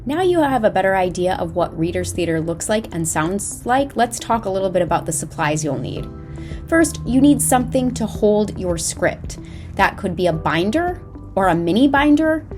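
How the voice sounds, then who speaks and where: A woman presents an instructional lesson in the manner of a drama teacher. Her delivery is measured and explanatory with careful enunciation, and music plays behind her. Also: mid-range voice, very animated, a little mellow. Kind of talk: scripted instructional narration. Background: background music.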